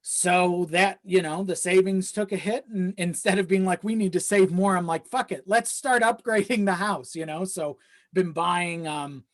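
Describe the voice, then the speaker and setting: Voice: mid-range voice, normal speed. A young adult talking casually and candidly in a rambling way, with casual swearing. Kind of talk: casual chat. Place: home office in a small self-built shed. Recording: slightly echoey unpadded shed.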